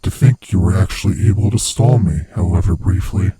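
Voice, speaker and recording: A man voicing a sinister, gloating monster who hunts human prey, with a husky, rasping voice and a slow, taunting manner, in a horror ASMR roleplay. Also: mellow, natural voice. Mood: triumphant. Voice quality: husky, breathy, rasping low voice. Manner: slow, menacing, theatrically taunting.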